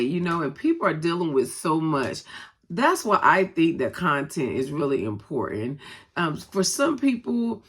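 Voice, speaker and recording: A woman's voice: deep voice, fairly bright tone. Stuffy-nosed woman vlogging to her viewers. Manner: conversational, many "um"s, talks straight to viewers. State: stuffy nose from a head cold. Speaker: vlogger and co-pastor. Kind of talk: casual personal vlog talk.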